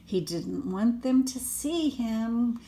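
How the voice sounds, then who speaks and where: A woman's voice: on the high side, expressive, slow. An older woman, a grandmother, reading a storybook expressively to children with chatty asides. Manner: child-directed, dramatic emphasis, gasps and hushed asides.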